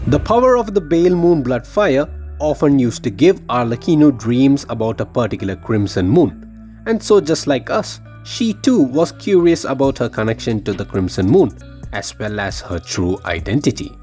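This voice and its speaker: A man's voice: mid-range voice, darkish tone. A man reading a scripted game lore theory voice-over with dramatic, emphatic phrasing. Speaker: video game lore YouTuber.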